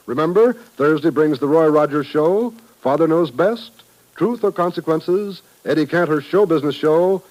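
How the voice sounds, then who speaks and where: A man's voice: fairly high pitch, darkish tone, low-quality recording. An American man announcing on 1950s network radio, reading scripted promotions and credits in a polished, formal manner. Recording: old radio broadcast recording.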